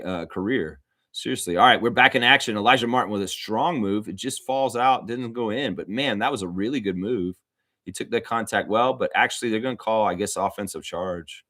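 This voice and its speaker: A man's voice: lowish voice, fairly bright tone, no room sound, clean studio sound. A man giving casual, conversational fan play-by-play of basketball, rambling a little and repeating himself. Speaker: livestream host calling basketball play-by-play. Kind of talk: casual fan sports commentary.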